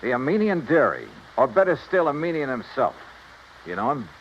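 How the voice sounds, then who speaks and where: Man playing dogged insurance investigator, blunt and wry, animated pitch, muffled noisy old radio recording. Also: fairly quick, close to an everyday voice. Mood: brisk, probing. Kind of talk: 1950s-60s radio detective drama acting and narration.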